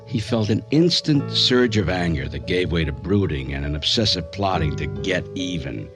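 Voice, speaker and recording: Doctor-narrator for radio drama: authoritative psychiatrist, animated intonation, precise explaining, music bed. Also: male voice, low voice, moderate pace. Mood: authoritative, explanatory.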